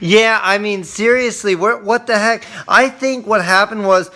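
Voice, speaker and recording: A man's voice: high voice, unhurried, a crowd in the background. A man chatting casually while reviewing a cartoon, rambling and hesitant with many fillers.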